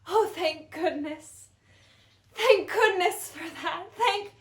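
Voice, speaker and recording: A woman's voice: very high voice, slow pace, fairly bright tone, full character voice. A young woman in an amateur stage play acting a desperate, grieving mother, insistent and frantic. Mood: anxious. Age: young adult. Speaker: student actor. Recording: echoey room.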